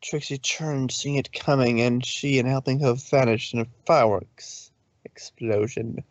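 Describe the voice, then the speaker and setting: A man's voice: mid-range voice, steady tone, measured pace, no room sound, lo-fi sound. A man narrating a story informally, with a loose delivery in which he sometimes corrects himself. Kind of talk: informal fan fiction reading.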